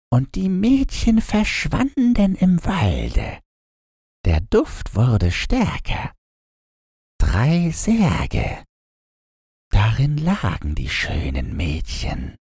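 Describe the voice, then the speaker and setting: A man voicing solemn, mournful hyacinth flowers in a fairy tale, in a hushed, swaying recitation. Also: fairly high pitch, gravelly, slightly rough, dry recording, studio quality, exaggerated voice.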